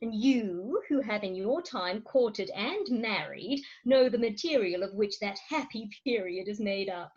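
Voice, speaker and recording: A woman's voice: medium pitch, animated, measured pace, hardly any pauses, a little mellow, close to an everyday voice. A woman acting a proud, coaxing middle-aged wife in a comic Victorian farce, theatrical and emphatic, on slightly dull online call audio. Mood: earnest, coaxing. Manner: theatrical, emphatic, grandly articulate. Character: imperious, coaxing Victorian English wife, thirty-six, proud. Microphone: remote home recording over video call.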